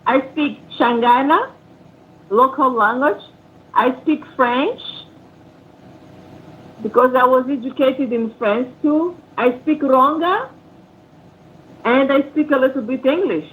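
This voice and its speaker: A woman's voice: high voice, takes it slowly, long pauses, phone-quality sound, a little hiss or hum. A woman from Mozambique with a Mozambican accent, a native Portuguese speaker, talking carefully in English as a caller on a livestream. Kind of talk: call-in conversation.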